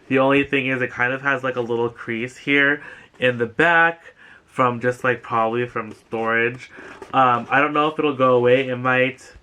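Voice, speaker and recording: A man's voice: mid-range voice, steady tone, normal speed, pushed and bright, clear, resonant tone. A young man hosting a casual thrift haul vlog from a couch at home, gushing and rambling with lots of fillers. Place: living room couch indoors.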